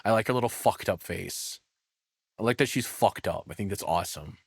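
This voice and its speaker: A man's voice: fairly low pitch, brisk, creaky, husky, no room sound, studio quality. A man giving casual, off-the-cuff reaction commentary in a rambling, self-deprecating way. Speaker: reaction streamer.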